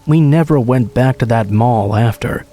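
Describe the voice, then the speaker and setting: Dark-voiced man narrating horror stories. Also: mid-range voice, normal speed. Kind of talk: scripted narration.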